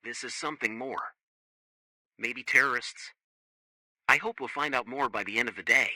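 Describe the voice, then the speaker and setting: An opinionated local news anchor, played by a man, speaks at a medium pitch with a very bright, forceful tone. He is direct and suspicious, reading a scripted broadcast in a dry studio-like sound. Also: normal speed, no room sound. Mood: suspicious, matter-of-fact.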